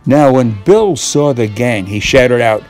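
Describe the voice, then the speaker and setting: An older man reads a tall tale as a bedtime story, expressive and deliberate, drawing out key words. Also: medium pitch. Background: guitar music.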